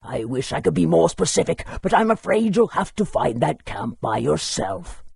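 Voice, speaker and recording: An old scholarly sage in a fantasy game, wordy and instructive. The voice is extremely hoarse-edged and crackly, rising and falling expressively. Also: male voice, fairly high pitch, very animated, normal speed, a little mellow, no room sound, exaggerated voice. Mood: helpful, wise. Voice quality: very raspy, creaky. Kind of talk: fantasy video game character acting.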